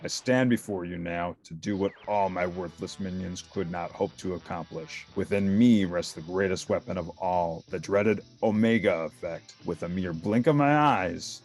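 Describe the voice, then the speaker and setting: A man voicing a dark, commanding villain god in a children's storybook, making grandiose and menacing proclamations. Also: low-pitched, voice pushed down.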